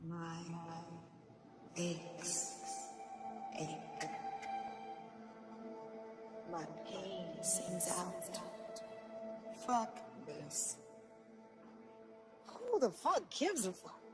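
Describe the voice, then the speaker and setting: A woman's voice: on the low side, huge pitch swings, very slow, long pauses, full character voice. An actress playing a troubled, guarded married woman in a naturalistic drama, with blunt, streetwise, profane speech. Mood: bitter, pained. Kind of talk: naturalistic screen drama acting. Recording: loud music behind the voice. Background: music.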